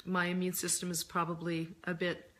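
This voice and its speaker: A middle-aged woman talks through a casual live chat with her readers, her voice hoarse and lower than usual as she recovers from laryngitis. She answers questions gently and thoughtfully, pausing often with soft ums. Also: monotone. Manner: gentle and reflective, many ums. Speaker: author hosting a live reader Q&A.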